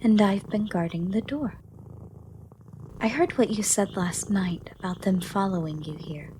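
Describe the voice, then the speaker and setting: A woman performs a female monster of the shadows, ancient, gentle and protective, in an ASMR roleplay. Her delivery is unhurried and formal, with a soft, dark, relaxed tone that soothes. Faint noise sits behind the voice. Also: mid-range voice, natural voice. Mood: protective, reassuring. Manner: slow, soft, formal, slightly archaic phrasing, soothing.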